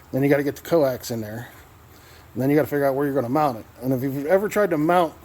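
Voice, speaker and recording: A man's voice: medium pitch, darkish tone. An American man giving a casual, conversational vlog walkthrough in a folksy, plain-spoken way. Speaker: vlogger, radio hobbyist.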